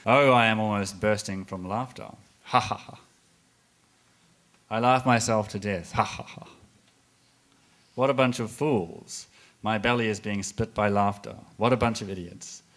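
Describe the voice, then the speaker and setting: A loud, mocking prologue speaker with a low voice, played by a man at a live stage reading. He scolds and jeers in a broad theatrical manner, laughing scornfully. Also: normal speed. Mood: mocking laughter. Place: live performance space with audience.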